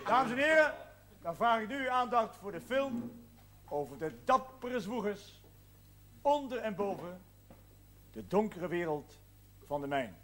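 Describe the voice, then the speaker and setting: An actor playing a jovial, formal union man hosting a village film evening in a television drama, with a pompous, halting manner. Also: high voice, sing-song, slight background noise, heavily acted. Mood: formal, genial. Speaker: master of ceremonies. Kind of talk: ceremonial public address in a television drama. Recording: loud music behind, 1970s television soundtrack.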